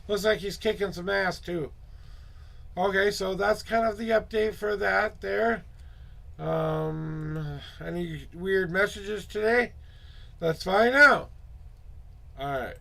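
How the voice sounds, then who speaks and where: A hoarse man hosting a casual tarot livestream, muttering asides to himself and greeting viewers in a loose, chatty way. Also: high-pitched, pushed and bright. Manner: upbeat, drawn-out greetings to viewers. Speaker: tarot reader and livestream host.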